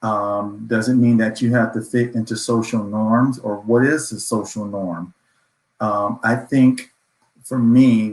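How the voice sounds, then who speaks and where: Earnest man in a roomy echo. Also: fairly low pitch. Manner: earnest, affirming, motivational. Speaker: panelist in a community discussion. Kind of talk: reflective panel talk.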